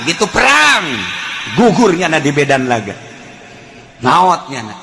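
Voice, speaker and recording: High-pitched Sundanese-accented male preacher. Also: measured pace, vocal fry, noisy background. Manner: animated, shifting between chanting, shouting and folksy asides. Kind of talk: fiery religious sermon with storytelling.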